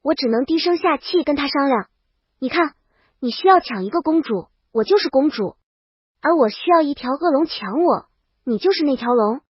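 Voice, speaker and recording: A woman's voice: high voice, lively intonation, normal speed, dry recording, muffled recording. A woman reading a scripted first-person comic story as its brash, exasperated heroine. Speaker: audio story narrator.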